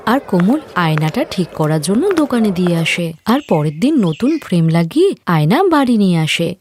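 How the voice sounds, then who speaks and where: A woman's voice: medium pitch, a little mellow. A woman narrating a scripted fairy tale as a storyteller.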